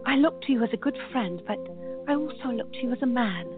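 A woman's voice: a little high, animated, fast talker, over music, lightly acted. Woman acting a tender lovelorn lady, thin phone-like sound. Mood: tender, pleading. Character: Jane, tender lovelorn woman of earlier times. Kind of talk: amateur radio play acting.

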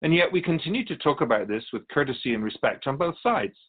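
A man's voice: mid-range voice, expressive, phone-quality sound, close to an everyday voice. A man playing a wise otherworldly keeper of souls in a radio drama, courteous, philosophical and wry as he tells stories. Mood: curious, challenging. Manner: measured, philosophical, gently challenging. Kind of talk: radio drama dialogue, storytelling.

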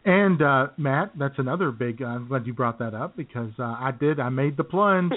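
A wry, dry-humored man riffing through a comedy podcast, clearing his throat now and then. His voice sits at an ordinary middle pitch. The audio is thin and tinny, like a phone line. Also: animated, moderate pace. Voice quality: wry, self-described cynical, frequent throat-clearing. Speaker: podcast co-host. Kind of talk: casual comedy chat.

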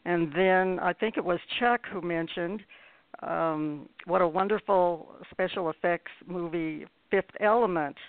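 A man's voice: sits high, unhurried, no echo. A radio host putting questions to guests in a hesitant, conversational way, often repeating words; a man's voice. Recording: thin phone-quality internet radio.

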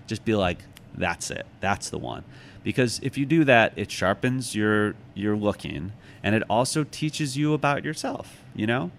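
An American man from Chicago talking casually as an interview guest, halting and thoughtful as he tells anecdotes and gives advice. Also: lowish voice, expressive, creaky, slight background noise. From Chicago, United States. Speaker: author and podcast guest.

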